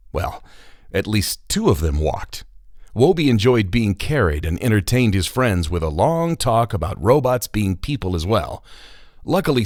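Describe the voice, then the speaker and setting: A man's voice: on the low side, darkish tone, no echo, studio quality. A man narrating a scripted children's story as the narrator.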